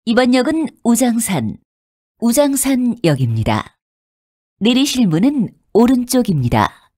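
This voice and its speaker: Polite woman announcer with lively, higher pitch. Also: unhurried, dry recording, clean studio sound. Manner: polite, formal, carefully enunciated. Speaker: subway announcer. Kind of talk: scripted public transit announcement.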